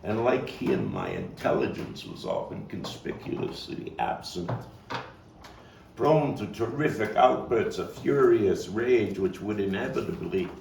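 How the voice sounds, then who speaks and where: An actor playing a weary, foul-mouthed, combative neighborhood philosopher in a halting, emphatic stage monologue, his voice gravelly. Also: lowish voice, big pitch swings, full character voice. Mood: wistful, grand. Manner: rambling, profane, halting, emphatic street talk. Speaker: playwright and actor. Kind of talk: theatrical monologue at a table read. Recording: distant mic, roomy echo, faint noise.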